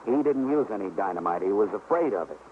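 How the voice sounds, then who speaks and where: An actor playing an earnest, suspicious rural friend of a detective, folksy and insistent, in radio drama style. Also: male voice, fairly low pitch, animated, fast talker, close to an everyday voice. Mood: suspicious, grave. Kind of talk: 1950s radio drama acting. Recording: dull, noisy old radio recording.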